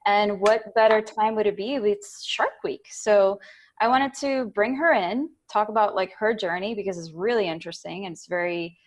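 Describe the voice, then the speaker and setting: A woman's voice: mid-range voice, steady tone, moderate pace. A woman from Puerto Rico co-hosting a podcast, talking in a casual, rambling and effusive way. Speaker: podcast co-host. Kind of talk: casual chat.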